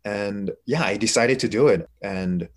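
A man's voice: lowish voice, a little slow. A middle-aged man, an interview guest, telling his personal story in an informal, reflective, conversational way. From Korea, moved to the United States.

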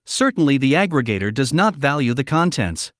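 Animated man with a dry, close sound. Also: medium pitch, a little fast, no echo. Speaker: interview guest, media analytics company representative. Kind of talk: formal, prepared-sounding explanatory answers.

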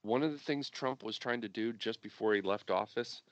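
Lowish guy getting over being sick, repeats his points hard, dry sound. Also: moderate pace, no echo, highs cut off. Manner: emphatic, repeats key points. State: recovering from illness, deep voice. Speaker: podcast producer and co-host. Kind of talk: casual political chat.

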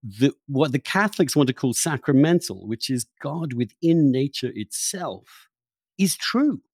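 A man's voice: medium pitch, animated, measured pace, darkish tone, husky, no echo, clean studio sound. A man hosting a reflective long-form interview, searching for his phrasing and often repeating words as he thinks aloud. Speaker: interviewer, podcast host.

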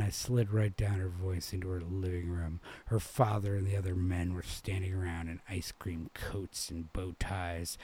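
A man from New England reading a literary short story aloud as an expressive narrator. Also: low voice, a little hiss or hum. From New England, United States. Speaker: reader.

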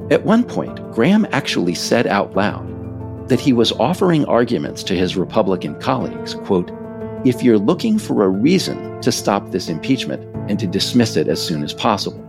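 Low, creaky American male narrator, lively delivery. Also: normal speed, music in the background. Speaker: author and narrator reading own book. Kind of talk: scripted political narration.

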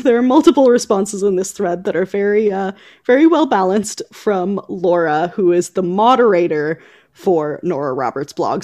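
Casual woman podcast host, mid-pitched voice. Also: a little mellow. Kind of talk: casual conversational book chat.

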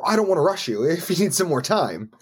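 Guy playing an eager chatty new coworker man in an audio play, husky voice, super polite and apologetic. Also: on the high side, sing-song, fast. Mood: eager, friendly.

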